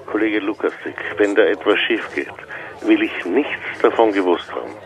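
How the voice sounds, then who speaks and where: A man's voice: fairly low pitch, normal speed, poor audio. An actor playing an irritated, wary male police superior heard through a telephone in a radio crime drama, curt and disapproving. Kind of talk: radio play dialogue.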